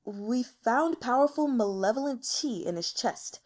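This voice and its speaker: A woman acts out a fearful elder healer at an imperial court in a fantasy audiobook. The speech is formal, anxious and hesitant, high-pitched with animated swings. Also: unhurried. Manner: nervous, hesitant, stammering, formal.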